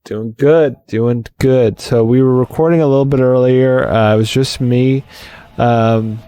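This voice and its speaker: A man's voice: medium pitch, steady tone, a little slow, warm tone, smooth, no room sound, muffled recording. A man hosting a live-streamed game let's play, talking casually with lots of restarts and fillers.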